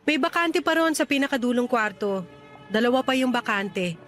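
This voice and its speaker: A woman's voice: fairly high pitch, animated, moderate pace, fairly bright tone, faint music behind. A woman acting a put-upon, plain-spoken grown daughter in a radio drama. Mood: businesslike. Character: put-upon, frustrated single daughter managing mother's rentals.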